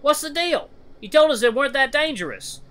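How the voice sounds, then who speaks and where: A man's voice: very high voice, animated, a little fast, pushed and bright, pitched above the performer's own voice, heavily acted. A man voicing a frantic honey badger messenger in a story, talking in urgent, slangy bursts.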